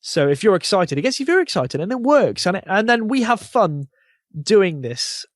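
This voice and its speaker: A man's voice: high voice, very animated, moderate pace, dry recording, clean studio sound. A man talking casually as a podcast guest, rambling informally and with enthusiasm. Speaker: podcast guest, YouTube channel founder. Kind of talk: casual interview chat about a gaming channel.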